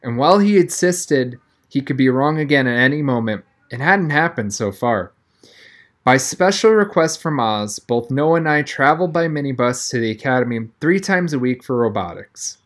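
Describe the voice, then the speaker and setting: A reader narrating a first-person children's novel aloud in a conversational manner, as an audiobook. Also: medium pitch.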